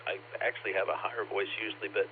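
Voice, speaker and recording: A man with a scratchy, bassy voice from a lingering cough, chatting on a ham radio net in a rambling, storytelling way. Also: quick pace. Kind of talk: casual on-air chat. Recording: lo-fi radio transmission, faint static.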